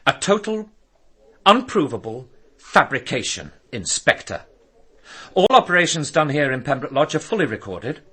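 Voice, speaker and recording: An actor playing an arrogant, articulate doctor in a radio drama, indignantly defending himself as a suspect. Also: male voice, on the high side, very animated, normal speed, sharp tone, breathy edge, exaggerated voice. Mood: indignant, dismissive. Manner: articulate, indignant, self-justifying.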